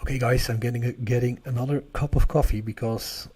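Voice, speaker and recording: Dutch guy on a night sky livestream, mellow husky voice, easygoing chatty talk. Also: medium pitch, fairly quick. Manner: relaxed, chatty, laughs often. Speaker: astronomy livestream host. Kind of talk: casual live stream chat, reading viewer comments.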